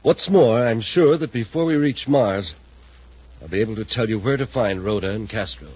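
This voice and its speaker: An actor playing a strained, suspicious scientist in a 1950s radio adventure, insistent and agitated. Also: male voice, on the low side, animated, moderate pace, a little mellow, slightly rough. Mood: uneasy, insistent. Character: strained, suspicious male scientist turning threatening. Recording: muffled 1950s radio recording.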